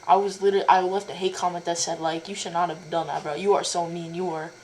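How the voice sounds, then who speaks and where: Rambling low-voiced young woman interviewer. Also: level tone, fast talker, crowd noise. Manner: rambling, stumbling, with many likes and restarts. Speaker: interviewer, cosplayer. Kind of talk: casual online video chat.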